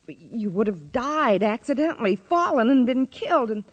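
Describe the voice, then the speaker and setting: An actress playing an eager, coy secretary to a detective in a 1950s radio drama, playful and flirtatious. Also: female voice, on the high side, very animated, moderate pace, muffled recording. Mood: eager, puzzled.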